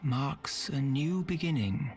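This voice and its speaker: Casting: grave storyteller for a fantasy game cutscene, very slow and portentous, music underneath. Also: male voice, medium pitch, animated, a little mellow, slightly rough, full character voice. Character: solemn narrator of a heroic fantasy saga. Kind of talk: dramatic game narration.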